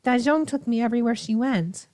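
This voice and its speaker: A woman voicing a soft-spoken, coldly obsessive young female killer from a visual novel, measured and sinister. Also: on the high side, no echo, lightly acted. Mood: feigned remorse. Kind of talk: visual novel character reading.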